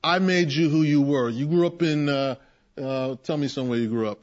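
A man's voice: fairly high pitch, brisk. A man leading a group Bible discussion, preaching emphatically and acting out the voices he quotes. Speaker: Bible study leader. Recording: muffled lo-fi recording.

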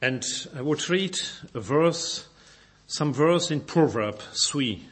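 A man's voice: fairly high pitch, lively intonation, slow. A Belgian man with a German-speaking accent preaching a sermon in careful, non-native English, often repeating and correcting his words as he reads scripture aloud.

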